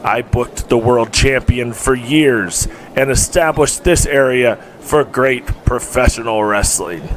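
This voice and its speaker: Actor playing a slow, stately retiring wrestling promoter. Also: male voice, mid-range voice, slight background noise, close to an everyday voice. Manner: measured, formal speech-making. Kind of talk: audio drama acting.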